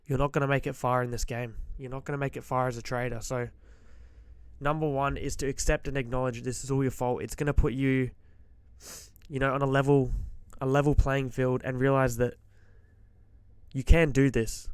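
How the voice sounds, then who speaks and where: A man talks straight to the listener about trading mindset, blunt and emphatic, hammering the same points again and again. His voice is a little hoarse from a cold and he clears his throat often, but the tone underneath stays smooth. The sound is close and dry, with no room around it. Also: medium pitch, level tone, normal speed, no room sound. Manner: direct, emphatic, repeats key points. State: slightly hoarse from being sick. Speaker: forex trader giving advice. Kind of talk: motivational trading-psychology talk to camera.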